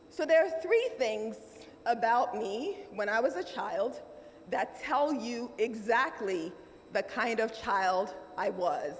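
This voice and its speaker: A middle-aged woman with a Nigerian accent addresses a conference crowd in a fairly high, emphatic voice, pausing for effect. Her speech carries the long reverb of a large hall, with faint room noise underneath. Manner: measured, emphatic, with dramatic pauses. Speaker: keynote speaker.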